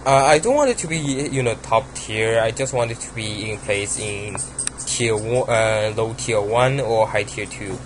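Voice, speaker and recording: A man's voice: fairly low pitch, a little slow, a little hiss or hum, low-quality recording. A young man with a Chinese accent, talking casually and hesitantly about his card game deck, with many ums and restarts. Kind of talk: casual deck profile monologue.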